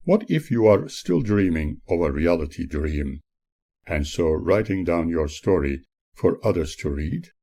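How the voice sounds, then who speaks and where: A man narrating his own spiritual audiobook as its author, in an earnest, preachy manner with measured phrasing and a husky voice. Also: sits low, big pitch swings, warm tone. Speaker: author reading own book.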